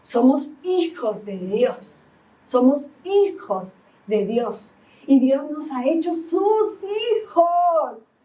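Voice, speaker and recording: Female pastor, middle-aged, Lima accent, slow deliberate sermon, mic set back in the church. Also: high voice, lively intonation, warm tone, far from the mic, low-quality recording. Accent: Peruvian (Lima). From Peru, Lima. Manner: asks the congregation "¿sí?" again and again.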